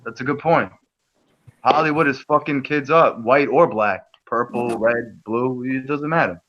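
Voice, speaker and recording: A man's voice: medium pitch, measured pace, a bit bright, dull audio. A man from New York chatting casually on a group livestream call, profane and rambling with lots of restarts. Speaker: livestream guest.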